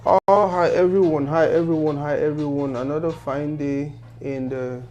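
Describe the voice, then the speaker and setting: Teasing host, higher voice. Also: male voice, steady tone, moderate pace, darkish tone, muffled recording, soft background music. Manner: teasing, eager, many affirming hums. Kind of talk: casual interview hosting.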